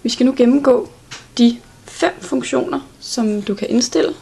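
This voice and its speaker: A woman's voice: fairly high pitch, creaky, warm tone, slightly rough. A woman giving an instructional product walkthrough in a plain, step-by-step way. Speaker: product demonstrator.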